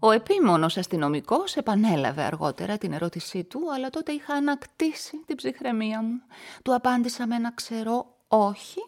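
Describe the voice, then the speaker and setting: An actress narrating as a guilt-ridden, pious spinster in a radio drama, in a hushed, confessional whisper. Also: female voice, fairly high pitch, lively intonation. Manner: tense, confessional, anxious. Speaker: first-person narrator of a crime story.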